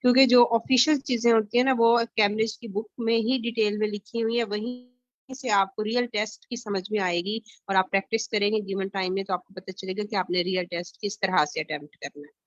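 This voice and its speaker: A woman's voice: medium pitch, steady tone, a little fast, no room sound. A woman with a Pakistani accent teaching an IELTS class over a video call, explaining patiently with many fillers. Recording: dull lo-fi online call audio.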